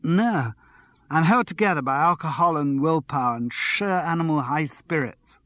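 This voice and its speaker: A man reading an audiobook, voicing an excitable, slightly tipsy young woman who chatters on without pause. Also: on the high side, no room sound, lo-fi sound, pitched above the performer's own voice. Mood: giddy. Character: giddy, tipsy young woman studio manager.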